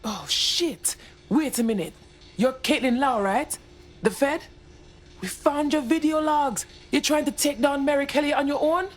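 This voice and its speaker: Indignant young-rebel type: highish voice, blunt, streetwise, game drama. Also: female voice, unhurried. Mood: urgent, surprised. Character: blunt, indignant female resistance operative. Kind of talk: dramatic video game acting.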